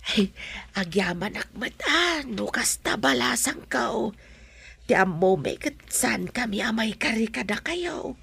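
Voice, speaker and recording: A woman playing a humble, grateful middle-aged mother in a radio drama, with a husky, creaky voice. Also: a little high, animated, measured pace, a bit bright, exaggerated voice. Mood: grateful, admiring. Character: humble, poor, effusively grateful mother of the groom.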